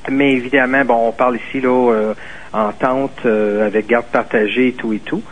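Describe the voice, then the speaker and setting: A man's voice: mid-range voice, steady tone, normal speed. A man calling in to a radio talk show, hesitant, with many fillers and restarts. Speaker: caller. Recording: tinny phone line.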